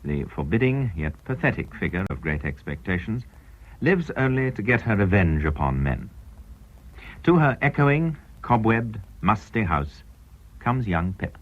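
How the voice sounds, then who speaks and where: A man's voice: fairly low pitch, big pitch swings, measured pace. A British man announcing a scripted radio programme trailer in a formal, dramatic broadcast manner. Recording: dull old radio broadcast recording.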